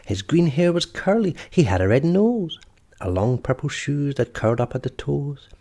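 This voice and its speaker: A man's voice: a little high, big pitch swings, moderate pace, gravelly, warm tone. A man reading a children's story aloud expressively, as storyteller and host.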